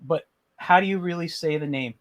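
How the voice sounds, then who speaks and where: A man's voice: fairly high pitch, brisk, lo-fi sound. A man hosting an interview, who talks in a friendly, rambling and self-deprecating way. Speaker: interview host. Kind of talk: casual interview chat.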